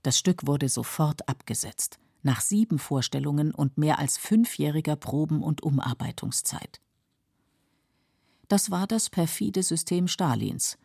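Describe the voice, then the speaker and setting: A woman narrating a scripted radio documentary in a measured, sober manner. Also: deep voice, expressive, gravelly, a little mellow, breathy edge, no echo, clean studio sound. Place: dry studio.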